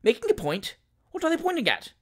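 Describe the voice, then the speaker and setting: A man voicing a nervous, bewildered amateur gentleman sportsman in a storybook reading, plaintive and flustered. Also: extremely high pitch, very animated, normal speed, voice pushed up. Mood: puzzled.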